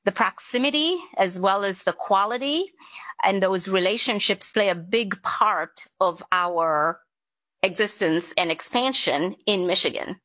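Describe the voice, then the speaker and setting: A middle-aged woman from Sri Lanka talks through her career in finance as an interview guest. Her answers are measured and businesslike, with the odd um as she thinks. The sound is dry and close, with no room around it. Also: mid-range voice, fairly bright tone, no room sound. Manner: measured, businesslike, with frequent ums.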